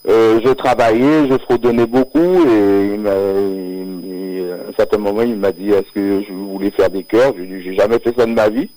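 A singer from Martinique, born near Paris, talking as a guest on a live radio show, hesitant, with many fillers and restarts and frequent laughs. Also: male voice, fairly low pitch, steady tone, moderate pace, hardly any pauses, mellow, poor audio. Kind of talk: live radio interview, casual chat. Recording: radio broadcast audio.

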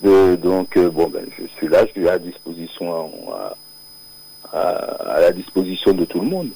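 A singer from Martinique, born near Paris, talking as a guest on a live radio show, hesitant, with many fillers and restarts and frequent laughs. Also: male voice, low-pitched, sing-song, moderate pace, vocal fry, dark tone, a little hiss or hum, rough recording. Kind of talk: live radio interview, casual chat. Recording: radio broadcast audio.

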